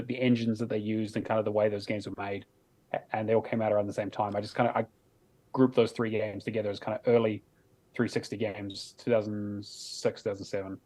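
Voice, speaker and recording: A man's voice: on the low side, a little mellow. Casual, sweary male podcast co-host. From Sweden. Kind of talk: casual chat about video games.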